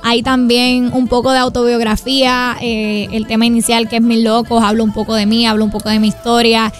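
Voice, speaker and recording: A woman's voice: fairly high pitch, level tone, fairly quick. A young woman with a Puerto Rican accent, talking in an informal, flowing way as a singer-songwriter in a radio interview. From Puerto Rico. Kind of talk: conversational promotional radio interview.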